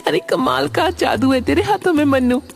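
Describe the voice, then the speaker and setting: A woman's voice: high-pitched, animated, normal speed, vocal fry, soft background music, pitched above the performer's own voice. A woman voicing a delighted, gushing young schoolgirl in a cartoon. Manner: gushing, exclaiming. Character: delighted young schoolgirl raving about tasty food.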